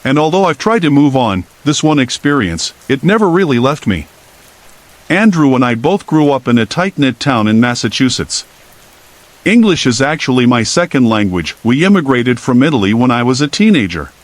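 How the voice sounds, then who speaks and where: A man narrating first-person horror stories in a calm scripted reading, with steady rain behind him. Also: mid-range voice, moderate pace.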